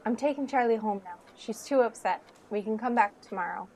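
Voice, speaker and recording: Woman acting higher-voiced social worker, noisy recording. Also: a little fast, a little mellow, natural voice. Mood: businesslike. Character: businesslike female social worker. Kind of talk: radio play acting.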